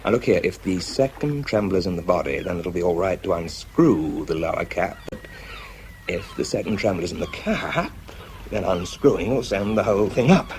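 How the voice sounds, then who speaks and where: Exhausted bomb disposal expert, low voice, widely varying pitch, halting, strained, methodical, radio drama monologue. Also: male voice, animated, darkish tone, a little hiss or hum. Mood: tense, concentrating. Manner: halting, hesitant, muttering as he works. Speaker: actor and narrator in a radio drama. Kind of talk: radio drama acting, first-person monologue.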